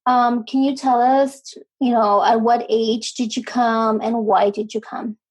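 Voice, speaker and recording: A woman's voice: a little high, little pitch movement, measured pace. A woman hosting a podcast interview, speaking hesitantly with frequent ums. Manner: hesitant, heavy use of um. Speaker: podcast host. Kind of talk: casual interview.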